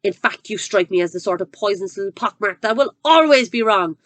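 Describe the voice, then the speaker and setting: A woman voicing a huge, cruel headmistress who barks her words with loud scorn and contempt. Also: lively intonation, a little fast, no echo, close to an everyday voice. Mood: roaring. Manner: barking, booming and contemptuous.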